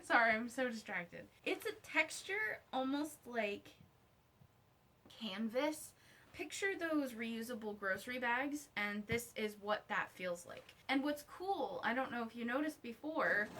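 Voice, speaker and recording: A woman vlogger talking casually to camera in an unboxing style, slangy and informal, often thinking out loud to herself. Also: on the high side. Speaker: vlogger reviewing her own merch.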